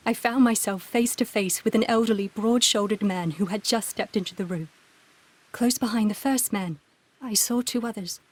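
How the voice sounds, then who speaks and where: A woman's voice: medium pitch, natural voice, low-quality recording. A woman voicing an unhappy aristocratic Victorian widow with a formal, refined, plaintive manner in a video game. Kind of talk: video game cutscene acting.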